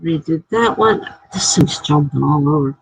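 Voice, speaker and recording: A woman's voice: sits low, lively intonation, moderate pace, vocal fry, a little mellow, breathy edge, poor audio. A woman hosting a live stream, reading viewer comments aloud in a chatty way and laughing often.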